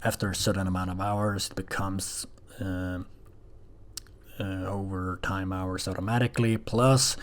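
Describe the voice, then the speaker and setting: A man talking casually in a rambling personal audio log, halting and hesitant, with coarse everyday wording. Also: low-pitched, little pitch movement. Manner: hesitant, halting, frequently swearing.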